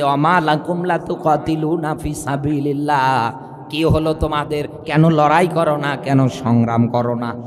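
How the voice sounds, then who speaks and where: A man's voice: sits high, pushed and bright. A Bangladeshi man preaching an impassioned Islamic sermon in an oratorical manner, repeating phrases and putting rhetorical questions to his listeners. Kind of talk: impassioned religious sermon to a gathering. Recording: long hall reverb, amplified sermon.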